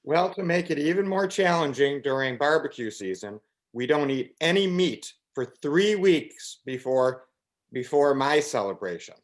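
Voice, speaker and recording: A woman performs an amateur comic script with swooping intonation. She plays a sad fast-day holiday that pokes fun at itself. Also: deep voice, lively intonation. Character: mournful, self-mocking fast-day holiday. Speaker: performer in a congregation's reader's theater.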